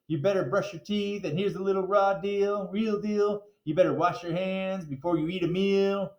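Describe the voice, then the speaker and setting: Man putting on a flashy, high-pitched rapper showman. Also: steady tone, normal speed, muffled recording, lightly acted. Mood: playful rapping. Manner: rhyming, rapping delivery with slang. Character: flashy male rapper showman, boastful, upbeat, playfully preachy. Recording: roomy, echoey sound.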